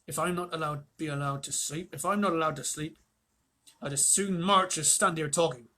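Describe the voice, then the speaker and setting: A grumpy male dwarf soldier, sulky and blunt, muttering low in a storybook reading. The voice is slightly husky and bright, put on by a man reading aloud. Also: normal speed. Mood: grumbling.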